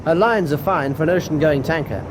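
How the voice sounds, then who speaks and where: A man playing a keen, respectful naval navigating officer in a wartime radio drama, brisk and deferential. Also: fairly high pitch, lightly acted. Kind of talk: WWII radio drama acting. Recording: steady ship ambience noise behind.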